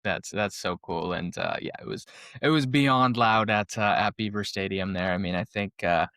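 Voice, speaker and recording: Male podcast host, lowish smooth voice, dry sound, eager and encouraging. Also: moderate pace, fairly bright tone, no echo. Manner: enthusiastic, affirming. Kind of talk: casual interview chat.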